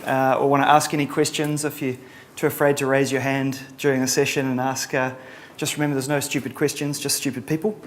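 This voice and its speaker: A man's voice: mid-range voice, little pitch movement, a little fast, hardly any pauses, slight background noise. Man, New Zealand accent, middle-aged, conference presenter, casual, dry humor. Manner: casual, many ums and uhs, dry humor. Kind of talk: relaxed conference talk.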